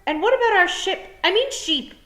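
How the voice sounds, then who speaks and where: A woman voicing a stubborn young farm girl who speaks out loud and indignant. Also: very high voice, animated, fairly quick, reverberant, pitched above the performer's own voice, full character voice.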